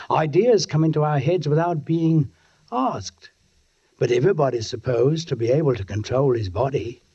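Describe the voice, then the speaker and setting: Menacing police sergeant, highish voice, deliberate dramatic delivery, 1940s suspense radio, muffled old recording. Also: male voice, mellow, husky. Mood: guarded, musing. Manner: deliberate, literary, dramatic narration. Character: British police sergeant, brooding, secretly menacing, addressing a newspaperman. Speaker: actor and narrator in a radio drama.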